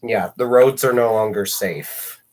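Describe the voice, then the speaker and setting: A man's voice: lowish voice, unhurried, a little mellow. A teenage boy chatting on a livestream, rambling and self-mocking with jokey asides. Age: teens.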